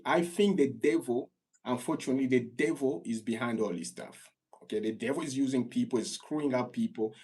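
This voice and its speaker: A man from Congo hosts a live stream and answers callers on immigration in a husky, mid-pitched voice. He talks earnestly and repeats his points. When he gets worked up, his voice climbs high and gets louder.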